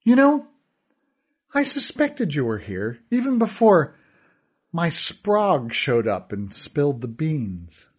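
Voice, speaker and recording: A man's voice: fairly high pitch, wildly swooping pitch, slow, slightly rough, heavily acted. A man voicing a cruel, theatrical villain who gloats and taunts in a sneering, sing-song way. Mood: mocking.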